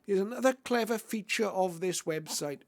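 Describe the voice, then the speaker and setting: A man's voice: high-pitched, normal speed. A middle-aged or older man presenting an informal screen tutorial, explaining step by step in a loosely phrased, hesitant way. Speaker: presenter of a shortwave radio tutorial. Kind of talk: informal tutorial commentary over a website demo.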